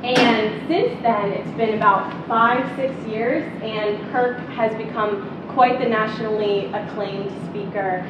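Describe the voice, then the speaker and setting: A woman's voice: mid-range voice, takes it slowly, few pauses. Young female TA, earnest introduction full of ums, muffled hall audio with noise behind. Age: young adult. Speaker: teaching assistant introducing the guest. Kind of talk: speech introduction. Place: university lecture hall. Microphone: far room mic. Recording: distant, muffled, echoey hall recording.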